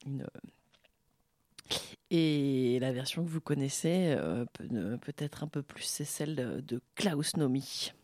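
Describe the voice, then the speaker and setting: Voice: medium pitch, animated, slow pace, a little mellow, dry recording, clean studio sound. A presenter talking casually and unscripted between music tracks, in a hesitant, rambling, informal way.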